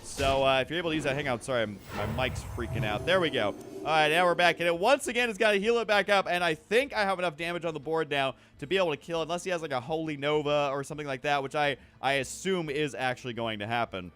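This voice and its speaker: A man with a somewhat high voice hosts a card game video. He commentates casually on his own play, rambling as he works out each move aloud. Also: fast talker, few pauses, a bit bright. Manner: rambling, thinking through moves aloud, counting. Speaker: gaming video host.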